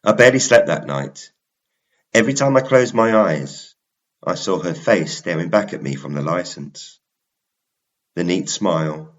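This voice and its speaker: Male narrator, very low register, unhurried dramatic delivery for suspense romance fiction, slightly muffled sound. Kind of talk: scripted first-person suspense romance narration.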